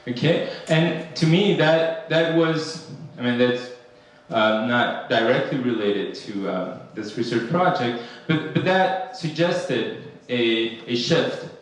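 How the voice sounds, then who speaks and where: A man's voice: medium pitch, lively intonation, takes it slowly. A man from Ecuador giving a conference talk, hesitant with many fillers and restarts. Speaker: academic panel speaker. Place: conference room. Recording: echoey hall, speaker far from mic.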